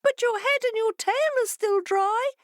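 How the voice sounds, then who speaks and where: A woman voicing a shoal of small, frightened fish in a high, hesitant voice. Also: a little slow, dry recording, studio quality. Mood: anxious. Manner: hesitant and flustered.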